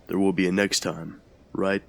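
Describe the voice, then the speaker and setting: A man voicing an easygoing young male coworker who reassures a friend in a low-key way. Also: level tone, normal speed, vocal fry. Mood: reassuring.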